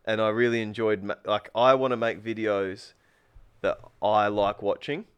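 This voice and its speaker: A low-pitched man talks through his thoughts on a casual podcast. He wanders and circles back, often restarting a sentence halfway through. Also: little pitch movement, normal speed, clear, resonant tone. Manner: rambling, thinks aloud, restarts often. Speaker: podcast guest, spearfisher and food truck owner.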